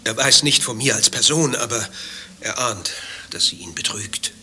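A man's voice: medium pitch, expressive, moderate pace, bright, forceful tone, slight background noise. An actor playing an anxious, grumbling married man with a secret lover, telling his troubles in fretful clipped phrases in a satirical radio play. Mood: agitated, emphatic. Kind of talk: dialogue-driven satirical radio play acting.

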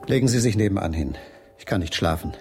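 A deep male voice, a broken, bitter husband in a radio play. He speaks tersely and wearily, with quiet resolve, over faint music. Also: expressive, fast. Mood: resigned, resolved. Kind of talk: 1980s German radio drama acting.

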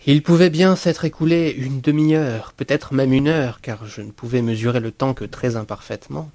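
Low-voiced man narrating a dark literary tale. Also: moderate pace, no room sound. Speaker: audiobook narrator. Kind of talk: dramatic literary narration of a first-person horror tale.

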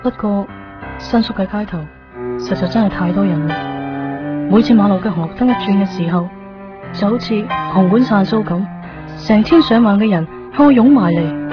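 A woman plays a quiet young visitor in Tokyo, terse in talk and reflective when she narrates her search. Her voice sits at a middle pitch. The 1990s radio recording is dull and lo-fi, with music clearly heard behind her. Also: normal speed, lightly acted. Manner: subdued, terse. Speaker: narrator of her own story. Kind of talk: 1990s radio drama acting.

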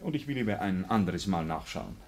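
An actor playing an evasive, flustered and stiffly proper village priest in a radio play. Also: male voice, sits low, animated, a little fast. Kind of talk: German radio drama acting.

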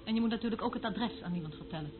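An actress in a radio play playing a stern, secretive senior worker who talks curtly and disapprovingly, like a schoolmistress. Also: female voice, medium pitch, racing, noisy background, natural voice. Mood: curt, commanding. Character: stern, fault-finding senior woman worker, secretive and bossy. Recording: tinny narrow-band old radio play recording. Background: loud music.

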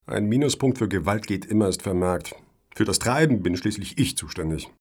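An actor in a satirical radio play as a brusque, suspicious official who grills applicants pointedly. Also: male voice, lowish voice, expressive, fairly quick, darkish tone, breathy edge.

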